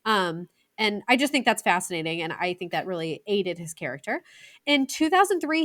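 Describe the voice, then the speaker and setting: A woman in her thirties from the United States, co-hosting a casual film review podcast, chatty and excitable with quick asides. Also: mid-range voice. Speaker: podcast co-host.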